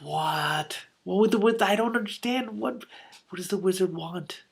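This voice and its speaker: A man hosting an expressive book read-aloud, breaking off for animated, incredulous, casual reactions. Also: high-pitched, moderate pace, vocal fry, breathy edge. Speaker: host reading a book aloud with commentary.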